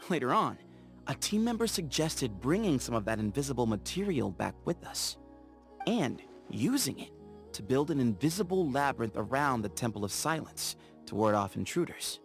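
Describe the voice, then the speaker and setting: An actor voicing an easygoing, playful young explorer in a game, chatty and teasing, laughing easily. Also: male voice, mid-range voice, big pitch swings, moderate pace, soft background music. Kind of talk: game character voice lines.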